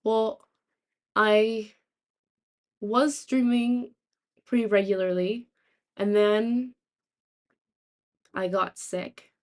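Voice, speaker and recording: A young woman with a raspy, sore-throated voice, chatting casually to live stream viewers in a halting, rambling way. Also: mid-range voice, fairly even intonation, darkish tone. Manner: halting, rambling, thinking aloud. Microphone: laptop, without usual microphone.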